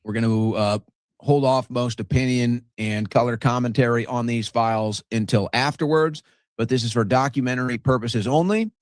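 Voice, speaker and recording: A man presenting a show, reading documents aloud in a measured way with brief asides. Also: mid-range voice, no echo. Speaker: show host.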